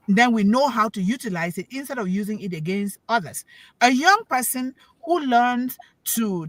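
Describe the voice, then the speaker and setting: Brief: woman, fairly high voice, emphatic motivational speaker, dry close sound. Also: fairly bright tone, no room sound, poor audio. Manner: emphatic, preachy, repeats key words for stress; asks the listeners questions. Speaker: panel speaker on an online talk show. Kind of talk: motivational talk.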